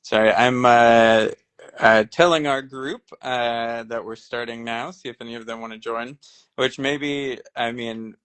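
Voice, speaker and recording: A worn-out man joining a live stream as a guest, talking in a relaxed, rambling way with lots of fillers. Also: medium pitch, unhurried, smooth. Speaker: guest advocate. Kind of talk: casual live stream chat.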